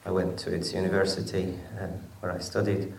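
A man from Syria speaking as a panel guest, soft-spoken and halting, with many ums, still shaken. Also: low voice, little pitch movement, creaky, slight background noise. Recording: roomy hall from far off.

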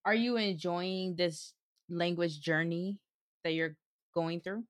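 A woman's voice: on the low side, fairly even intonation, slow pace, no room sound, clean studio sound. A woman hosting a podcast, asking encouraging interview questions. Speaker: podcast host.

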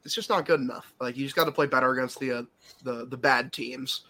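A man's voice: medium pitch, fairly even intonation, fast talker, bright, forceful tone. A congested man co-hosting a casual sports podcast, rambling with plenty of filler words. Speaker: podcast co-host.

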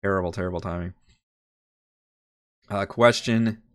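A man with a somewhat low voice sounds nasal and congested from a head cold. He talks loosely and thinks aloud as a game master on a livestream, with frequent fillers and restarts. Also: steady tone, a little slow, a bit bright, smooth. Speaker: game master and channel host. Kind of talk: casual livestream Q&A.

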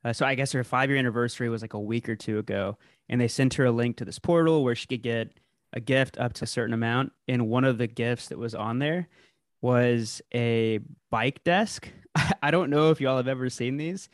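A man shares a light personal story at a middle pitch, relaxed and playful. His voice is dry and close to the mic. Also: normal speed, no echo. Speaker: podcast co-host. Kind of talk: casual chat.

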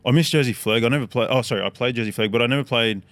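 An Australian man in his thirties, a podcast guest telling his story in a relaxed, rambling way. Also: medium pitch, racing, dry recording. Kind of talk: casual chat.

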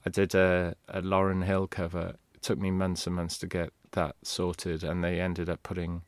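Low-voiced man, rambling. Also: completely flat delivery, moderate pace, no room sound. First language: Welsh. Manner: rambling, restarts, candid. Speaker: guest. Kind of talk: casual interview talk.

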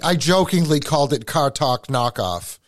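A man with a New England accent, hosting a podcast and chatting in an animated, casual way, often repeating words as he starts a thought. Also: a little high, moderate pace, dry recording, studio quality. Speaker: podcast host.

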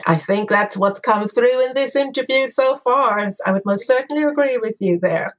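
A woman's voice: on the high side, normal speed. Encouraging woman podcast host. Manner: encouraging, laughs often. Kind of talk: interview hosting.

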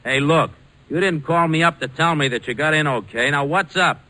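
A man with an American accent acting a determined young airline founder in a 1940s radio drama, with brisk, insistent, colloquial delivery. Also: on the high side, sharp tone. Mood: impatient. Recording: vintage 1940s radio broadcast.

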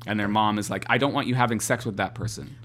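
Male co-host in his thirties, bright tone, rambling and hesitant. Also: on the low side, fairly even intonation, fast. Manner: rambling, hesitant, with frequent restarts. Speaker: podcast co-host. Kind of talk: casual chat.

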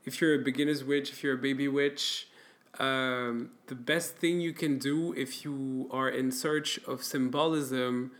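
A man's voice: medium pitch, steady tone, a little slow, a bit bright. A young man from France chatting casually as a podcast co-host, giving advice with many fillers and restarts. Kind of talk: casual chat.

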